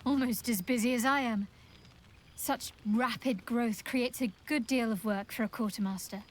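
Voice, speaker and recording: A woman playing a devout, commanding young dark priestess in a video game, speaking in a measured, formal, wry and intimate way. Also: on the high side, lightly acted. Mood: composed, proud. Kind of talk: video game character dialogue. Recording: game dialogue over background music. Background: music.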